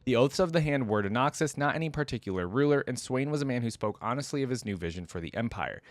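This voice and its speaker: A man's voice: mid-range voice, animated, fast, no echo. A man hosting a casual reaction video, switching between chatty commentary and reading lore aloud with informal asides. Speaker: YouTube reaction host.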